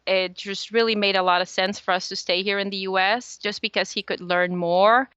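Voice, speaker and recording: Bright, clear woman from El Salvador. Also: mid-range voice, fairly even intonation, normal speed, dry recording. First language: Spanish. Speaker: podcast guest, small business owner. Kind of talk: conversational interview answers. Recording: slightly dull, lo-fi audio.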